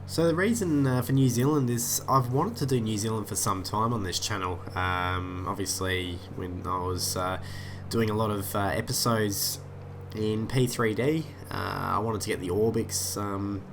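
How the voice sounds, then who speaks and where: A lower-pitched man, nasal and stuffy from a head cold, talks his way through a flight simulator session. He rambles, fills gaps with "uh", and drifts off on side stories. There is a steady hiss of noise behind him. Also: moderate pace. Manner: rambling, many "uh" fillers, easily sidetracked. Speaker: flight sim YouTuber. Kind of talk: casual gameplay commentary.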